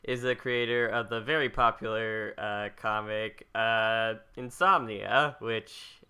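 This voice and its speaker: A man's voice: fairly low pitch, steady tone, takes it slowly, sharp tone, clean tone. A man hosting a podcast in a casual, conversational style, introducing a guest. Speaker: podcast host.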